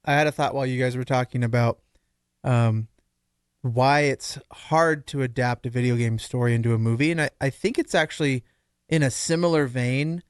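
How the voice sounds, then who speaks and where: Male co-host, clean resonant mid-range voice, dry studio sound, measured explainer in relaxed conversation. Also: mid-range voice, clear, resonant tone, no echo. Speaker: podcast co-host. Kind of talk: casual discussion.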